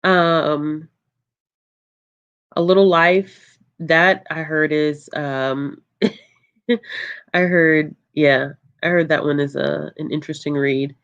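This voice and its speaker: A book channel host chatting casually on a livestream, reading out viewer comments in a calm, low voice, relaxed and laughing often with lots of ums. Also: slow, pauses often, clear, resonant tone, muffled recording.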